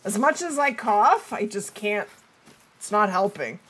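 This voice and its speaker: Animated, bright-voiced woman streamer with a cold. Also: mid-range voice, measured pace, slight background noise. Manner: chatty, talking to viewers and to the game. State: has a cold, losing her voice. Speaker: video game livestreamer. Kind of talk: casual livestream gameplay chat. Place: indoors at a streaming setup.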